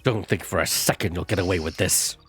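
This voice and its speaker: A man voicing a stern, sceptical investigator in a video game read-through, with a measured, challenging manner. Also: sits low, fairly even intonation, fairly bright tone, slightly rough, soft background music. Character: stern, sceptical male investigator confronting a villain. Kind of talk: live video game voice-over.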